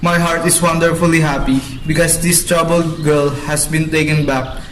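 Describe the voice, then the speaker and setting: A man plays a commanding, blustering father in an amateur radio drama. His voice sits a little high for a man and he declaims his orders. There is faint noise behind him. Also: flat delivery, moderate pace, a bit bright, close to an everyday voice, rough recording. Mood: pleased. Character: bossy, blustering middle-aged father, head of household. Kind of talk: student radio drama acting. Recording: amateur recording with background noise.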